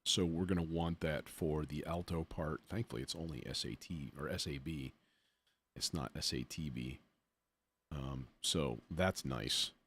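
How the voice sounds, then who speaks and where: A man with a low voice talks through a music software demo on a livestream. He is informal and a bit self-deprecating, often muttering to himself as he works things out. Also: unhurried, breathy edge. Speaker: livestream host, music producer demonstrating software. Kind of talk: casual livestream demo, thinking aloud.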